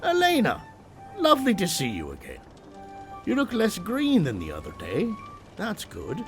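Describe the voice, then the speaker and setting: Animated, easygoing ship's captain. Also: male voice, sits high, moderate pace, over music, full character voice. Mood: cheerful, welcoming. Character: easygoing older male ship's captain, fond and teasing. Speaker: actor playing a ship's captain. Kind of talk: period audio drama acting.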